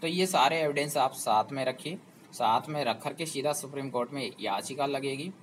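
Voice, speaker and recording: A man's voice: mid-range voice, moderate pace. Man explaining persuasively over faint hiss. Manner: persuasive, rhetorical questions to viewers. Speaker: job information channel host. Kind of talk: explanatory talk to students.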